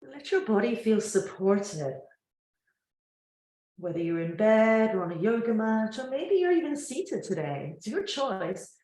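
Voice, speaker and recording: A woman teaching yoga nidra, guiding a relaxation gently and without hurry, with long pauses between phrases. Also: a little high, lively intonation, echoey room. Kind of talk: guided relaxation meditation.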